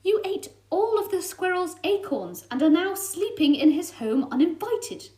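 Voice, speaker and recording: A woman's voice: high-pitched, animated, normal speed, a little mellow, voice pushed up. A woman voicing a bold, adventurous young male pet cat in a storybook, speaking eagerly and insistently. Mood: scolding.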